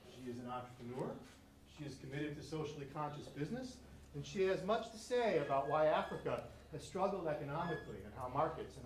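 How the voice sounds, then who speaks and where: A man hosting an event, reading out a guest speaker's credentials in an admiring introduction. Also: fairly high pitch. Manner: admiring, reads a list of credentials. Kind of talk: prepared introduction speech. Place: lecture room. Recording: roomy echo, distant mic, faint room noise.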